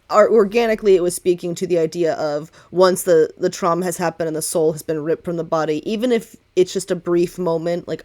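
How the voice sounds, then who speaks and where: A woman talking casually and wordily as game master of a tabletop role-playing game. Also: sits low. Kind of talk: tabletop role-play game talk, explaining lore.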